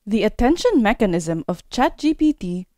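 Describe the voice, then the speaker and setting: Lively woman narrating a tutorial. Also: medium pitch, moderate pace, dry recording, clean studio sound. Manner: clear, step-by-step explaining. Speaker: course instructor. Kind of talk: scripted tutorial narration over a screen recording.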